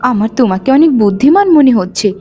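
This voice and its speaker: A woman playing a patient, kind housewife in a cartoon moral story, with a gentle, coaxing way of speaking. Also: on the high side, expressive, fast, lightly acted. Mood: patient, pleading. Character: patient, kind elder daughter-in-law and soft-spoken mother-in-law. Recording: music under the voice.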